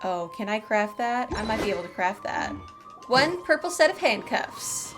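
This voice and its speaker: A woman's voice: medium pitch, big pitch swings, moderate pace. A woman doing casual, playful gameplay commentary as a streamer, chatting away to herself. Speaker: game streamer. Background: game music.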